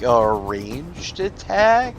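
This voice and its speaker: A man's voice: medium pitch, very animated, extremely slow, a little hiss or hum. A man acting as game master, narrating the action and rules of a tabletop game in a conversational, descriptive way. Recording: background music bed under voice. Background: music.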